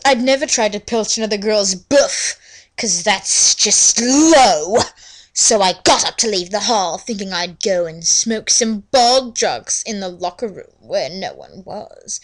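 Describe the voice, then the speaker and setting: A woman's voice: medium pitch, expressive, a little slow, poor audio. A woman giving a theatrical dramatic reading of a story in an exaggerated, mock-serious manner. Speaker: fanfiction reader.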